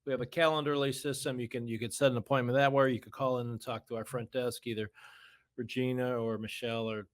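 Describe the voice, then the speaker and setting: A man's voice: mid-range voice, level tone, fast talker. A middle-aged American man talking casually as a financial advisor on a podcast, blunt and folksy, often posing rhetorical questions. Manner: opinionated, blunt, folksy, uses rhetorical questions and acted-out quotes. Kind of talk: casual conversational podcast talk.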